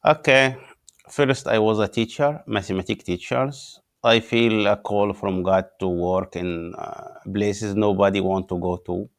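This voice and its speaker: A pastor from Upper Egypt talks in English with an Egyptian accent. His voice sits low and gravelly. He pauses often with "uh" as he hunts for words in a language that is not his first. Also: steady tone. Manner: halting non-native English with many "uh" pauses. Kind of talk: interview guest telling his story.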